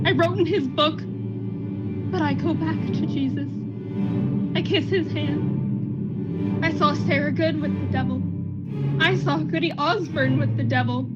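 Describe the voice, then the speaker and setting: A woman playing a frenzied young accuser girl in a radio play, chanting her confession and accusations fervently. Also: high-pitched. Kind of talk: stage-style radio play acting. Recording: dull lo-fi audio under loud music.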